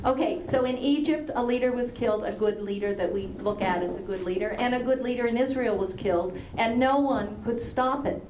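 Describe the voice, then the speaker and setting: A woman's voice: fairly high pitch, fairly quick, few pauses, a little mellow. A woman from Palestine in the audience of a university lecture, pressing the speaker with a long, persistent question full of fillers and restarts. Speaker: audience member asking a question. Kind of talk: question from the floor. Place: lecture hall. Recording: distant, roomy, noisy old lecture-hall tape.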